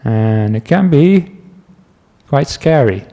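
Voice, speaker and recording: A man from the Netherlands with a Dutch accent, a meditation teacher giving a relaxed talk to a class, telling stories with asides and small laughs. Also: medium pitch, slow. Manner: gentle, chatty storytelling with asides and small laughs.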